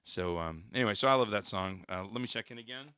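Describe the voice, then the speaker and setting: Guy with a scratchy hoarse voice rambling on a livestream, bright tone, dry close mic. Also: lowish voice, quick pace, no echo. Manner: rambling, many fillers and restarts. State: hoarse, recovering lost voice. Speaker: worship singer hosting a livestream. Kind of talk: casual livestream chat.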